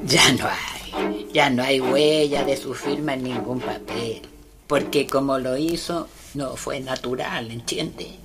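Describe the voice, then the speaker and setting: An actress playing a solemn, urgent Mapuche shaman healer in a radio drama, halting and commanding, repeating her words. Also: extremely low pitch, exaggerated voice. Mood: grave, explaining. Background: music.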